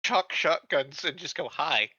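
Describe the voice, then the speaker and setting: A man's voice: fairly high pitch, a little slow, sharp tone, husky, dry recording, lo-fi sound. A man playing in a tabletop roleplaying game, talking casually and jokingly as one of the players.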